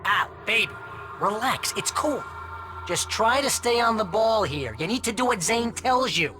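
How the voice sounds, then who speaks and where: Wildly animated, wisecracking sidekick. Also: male voice, high voice, measured pace. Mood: glib, coaxing. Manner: glib, sarcastic, slangy. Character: wisecracking imaginary best pal, brash, teasing, needling man. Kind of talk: comic game dialogue. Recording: loud music behind the voice.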